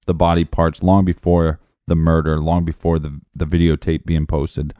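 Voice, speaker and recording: Deep, dark-voiced blunt man. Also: flat delivery, normal speed, no echo. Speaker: podcast co-host. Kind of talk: casual true crime chat.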